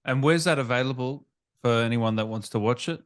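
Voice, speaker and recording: A man's voice: medium pitch, brisk, smooth, no room sound, clean studio sound. A man hosting an interview, asking questions in a casual, conversational way. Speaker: interviewer, host.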